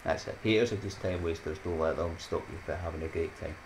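A man's voice: low voice, quick pace, noisy background. A Scottish man in his thirties chatting casually to his viewers in a rambling, upbeat way, laughing at his own jokes and dropping motivational sayings. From Scotland. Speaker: YouTuber. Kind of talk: casual chatty vlog outro. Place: small dim room at home.

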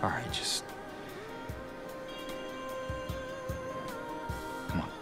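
A man playing a troubled, reluctant, withdrawn man in a naturalistic video game cutscene, subdued and halting. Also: whispered, music in the background, natural voice. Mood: resigned.

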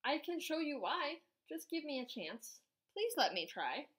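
A woman's voice: high-pitched, big pitch swings, unhurried, fairly bright tone, exaggerated voice. A woman voicing a cheerful, earnest young scribble drawing in a storybook, eager and pleading to play.

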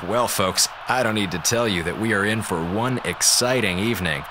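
An actor playing a sarcastic, theatrical slacker communications officer on a space station in a radio drama. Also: male voice, lowish voice, moderate pace, background noise, lightly acted. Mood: wry, drawling. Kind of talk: radio drama monologue as a log.

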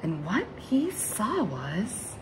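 A young woman reading a children's picture book aloud in an expressive, dramatic way, drawing out words and dropping into hushed asides. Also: mid-range voice, extremely slow, noisy background. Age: young adult. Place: small bright room indoors at home.

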